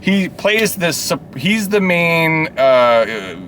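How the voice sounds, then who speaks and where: An excitable man chats loosely and swears freely as he reviews films. His pitch swings in a lively way, and he rambles through his points. Faint vehicle noise sits behind him, as if recorded inside a car. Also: takes it slowly. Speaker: movie reviewer, co-host. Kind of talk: casual profane movie chat.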